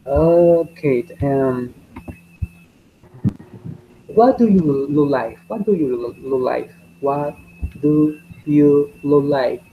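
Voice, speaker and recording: A man teaching a beginner English class. He speaks haltingly and repeats each question several times. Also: on the high side. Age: 20s. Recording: dull lo-fi audio with faint noise.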